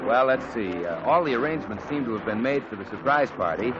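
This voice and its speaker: A man announcing in the smooth, persuasive style of an old radio show. His pitch rises and falls a lot, and the sound is dull like an old broadcast. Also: lively intonation, quick pace, music in the background. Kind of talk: scripted radio announcing and advertisement.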